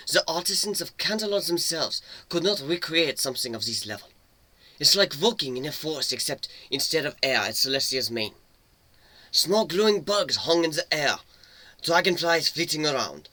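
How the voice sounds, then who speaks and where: A man's voice: a little high, sharp tone, no echo, low-quality recording. A man narrating a fantasy story as a dramatic reading.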